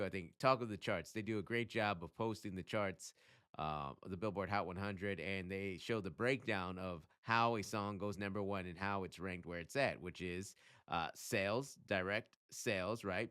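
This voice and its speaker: A man hosting his own channel, talking casually and emphatically as he explains things, often throwing in rhetorical questions and quick check-ins. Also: deep voice, a bit bright, smooth. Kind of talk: casual explainer talk to camera.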